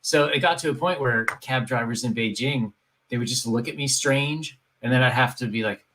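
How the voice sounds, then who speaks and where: A man's voice: medium pitch, normal speed, a bit bright, slight background noise, poor audio. A man hosting an interview, asking questions in a friendly, conversational way. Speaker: podcast host. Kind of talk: casual interview chat.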